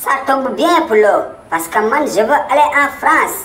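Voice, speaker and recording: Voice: sits high, expressive, normal speed, fairly bright tone. An actor voicing a hot-tempered male war veteran in a cartoon, blustering and threatening with a Senegalese accent. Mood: eager. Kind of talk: animated cartoon voice acting in comedy. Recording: echoey, distant-sounding, clearly noisy.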